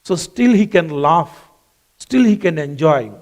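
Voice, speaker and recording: A man's voice: on the high side, animated, measured pace, a little mellow. A man whose first language is not English, preaching a sermon earnestly and repeating phrases for emphasis. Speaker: pastor.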